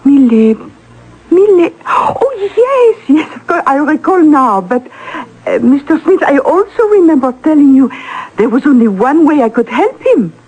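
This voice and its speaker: A woman's voice: sits high, very animated, unhurried, darkish tone, dull audio. A woman acting in an old-time radio drama, playing a grand, affected society madame who patronises artists and gushes dramatically. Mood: puzzled, wry.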